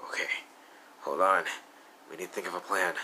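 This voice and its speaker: A man's voice: sits low, steady tone, moderate pace, bright, forceful tone, a little hiss or hum, low-quality recording. A man voicing a brooding young male villain with blue fire, muttering and sometimes whispering in a fan audio roleplay.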